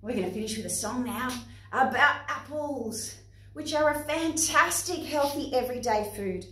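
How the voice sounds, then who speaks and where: A woman with an Australian accent presenting to young children and parents in an expressive, instructive way. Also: high-pitched, normal speed. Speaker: playgroup facilitator and presenter. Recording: echoey room, distant microphone.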